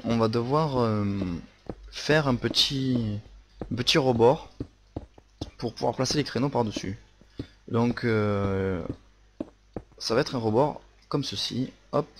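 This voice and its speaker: A young man with a Marseille accent narrating a building tutorial casually, hesitant with many fillers and restarts. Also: mid-range voice. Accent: Marseille French. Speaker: Minecraft building tutorial host. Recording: faint noise and clicks.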